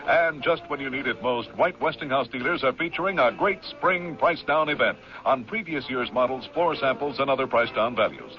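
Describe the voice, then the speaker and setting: A male announcer delivers a polished, scripted ad in a mid-pitched voice. Faint music plays behind him on a muffled old radio recording. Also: animated, moderate pace, hardly any pauses. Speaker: commercial announcer.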